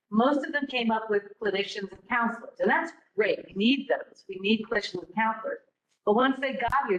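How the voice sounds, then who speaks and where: A woman's voice: on the high side, fairly bright tone, dull audio. A middle-aged woman, a program director, giving an informal, encouraging talk to students in a classroom without a mic, asking many rhetorical questions. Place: classroom with a live audience. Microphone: no mic on, room recording.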